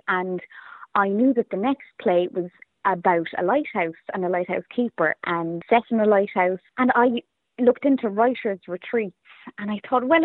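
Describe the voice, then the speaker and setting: Female interviewee, Irish accent, phone-band sound, dry; chatty, reflective, average pitch. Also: dry recording. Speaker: playwright and performer being interviewed. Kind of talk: casual reflective interview chat. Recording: tinny, phone-like remote line.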